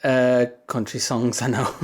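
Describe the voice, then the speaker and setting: A young man with a deep voice chatting casually with viewers on a livestream, rambling and laughing often between thoughts. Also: flat delivery, slow.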